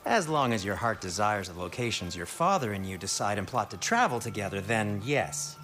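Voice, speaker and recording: A man's voice: fairly low pitch, animated, brisk, fairly bright tone, faint music behind. An actor playing a fussy, fretful dwarf craftsman in a video game, pedantic and rambling in his delivery. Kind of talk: comic video game acting.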